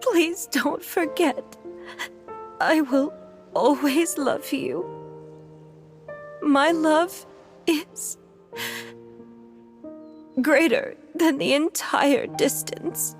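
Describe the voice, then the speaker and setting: A woman's voice: high-pitched, extremely slow, pauses often, soft background music, full character voice. A woman playing a tender, grieving mother in a video game, lingering lovingly over her words. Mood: tearful love.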